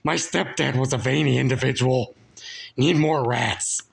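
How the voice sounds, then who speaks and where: A man's voice: medium pitch, fairly even intonation, measured pace, gravelly, voice pushed up. A man voicing a pathetic, fearful oddball who whimpers and pleads, in a storybook reading. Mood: sleepy whimpering.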